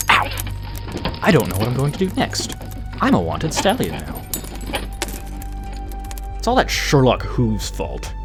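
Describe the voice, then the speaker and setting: A man's voice: on the low side, close to an everyday voice. A man playing a bitter, vengeful unicorn guard turned villain in a cartoon audio drama, haughty and dramatic. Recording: music playing behind.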